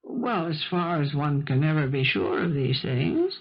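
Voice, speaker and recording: An actress playing a prim, brisk, take-charge housekeeper with formal, polite diction in an old-time radio mystery. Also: female voice, deep voice, expressive, full character voice. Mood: puzzled, soothing. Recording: dull 1970s radio broadcast recording.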